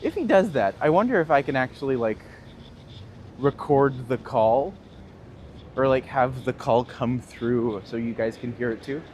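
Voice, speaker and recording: A man's voice: mid-range voice, lively intonation, a little slow, a little mellow. A man in his thirties with a Northern Ontario accent, chatting and laughing as he answers viewers while live-streaming a walk outdoors. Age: 30s. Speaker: tour guide livestreaming. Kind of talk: casual live-stream chat. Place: outdoors on a city street. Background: faint street traffic.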